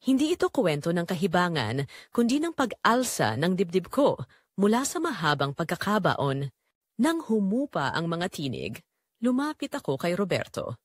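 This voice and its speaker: A woman's voice: lowish voice, very animated, normal speed, no echo, studio quality. A woman with a Filipino accent narrating a scripted first-person drama in an earnest, emotive way.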